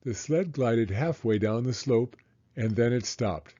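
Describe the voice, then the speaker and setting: A male children's story reader with a soft-edged, dark tone. He narrates deliberately and expressively, with big melodic movement and a slow pace made for young listeners. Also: mid-range voice, animated, lo-fi sound.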